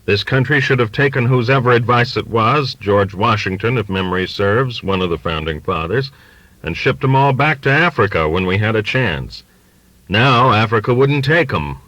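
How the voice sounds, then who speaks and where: A man's voice: on the low side, animated, moderate pace, a bit bright, dry recording, pitched above the performer's own voice, poor audio. Man voicing an emphatic, folksy old father. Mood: ranting, indignant. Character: bigoted, confiding, long-winded old working-class American father. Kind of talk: audiobook character dialogue.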